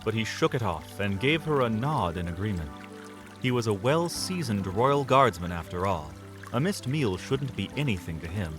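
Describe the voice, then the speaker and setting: Man, lowish voice, animated intonation, scripted dramatic narration, fantasy audio drama, music behind. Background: music under the voice.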